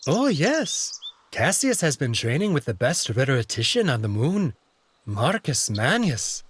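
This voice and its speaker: Man voicing a husky, dainty furry courtier. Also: medium pitch, very animated, measured pace, dry recording. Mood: coy surprise. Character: dainty, refined, gossipy young male furry alien courtier. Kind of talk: visual novel character acting.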